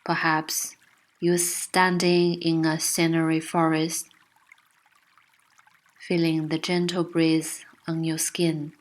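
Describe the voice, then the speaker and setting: A woman narrating a guided meditation with gentle, soothing, unhurried instruction. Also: deep voice, monotone.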